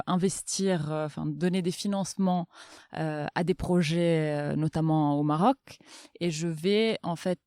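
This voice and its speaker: Smooth-voiced woman, hesitant and thoughtful. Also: on the low side, a little mellow, no room sound, studio quality. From Milan, Italy. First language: Italian. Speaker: interview guest, development bank inclusion officer. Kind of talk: casual, thoughtful interview answers.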